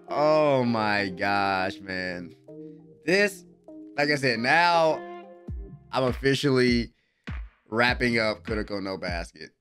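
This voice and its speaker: A man's voice: mid-range voice, fairly bright tone. A young American man giving casual, emphatic reaction commentary full of slang, speaking close to a microphone in a small room at home. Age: young adult. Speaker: anime reaction host. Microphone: desk microphone close up, headset worn.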